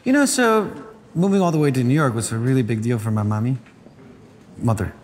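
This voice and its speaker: A man's voice: medium pitch, expressive, brisk, a little mellow, a little hiss or hum, close to an everyday voice. An actor playing a nervous young male student programmer who rambles awkwardly and tries too hard. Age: young adult.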